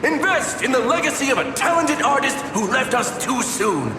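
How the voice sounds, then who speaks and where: A man playing a showy, flattering auctioneer in a video game, theatrical and grandiose, as if addressing a hall. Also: very high voice, over music. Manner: theatrical, grandiose, drawn-out calls. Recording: echoey hall, noise behind.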